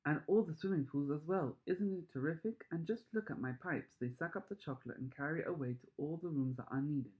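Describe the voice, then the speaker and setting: Storybook eccentric factory owner, male reader acting: proud, fussy, gushing, higher-pitched, slightly dull lo-fi sound. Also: a little fast, hardly any pauses, lightly acted. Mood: exultant. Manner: exclaiming, boastful, gushing.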